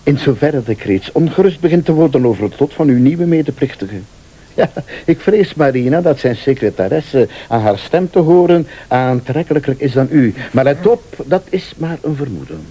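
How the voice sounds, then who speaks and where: An actor playing a shrewd, insistent accuser in a radio thriller, speaking formally and with deliberate insinuation. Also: male voice, medium pitch, lively intonation, mellow, natural voice, poor audio. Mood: accusing. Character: male late-night accuser, shrewd, insistent, laying out a murder plot. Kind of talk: Dutch-language radio thriller acting.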